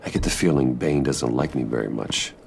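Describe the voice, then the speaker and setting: Composed guarded man, extremely low pitch, gravelly creak, measured, understated, dryly sincere, game dialogue. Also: a little mellow, slight background noise. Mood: guarded, calm. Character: composed, guarded wealthy man working his way into a gang.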